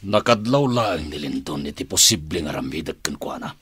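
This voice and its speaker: An actor playing a scheming villain boss in an Ilocano radio drama, with a husky voice. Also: male voice, very deep voice, animated, normal speed, a bit bright, slight background noise. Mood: grim, defensive.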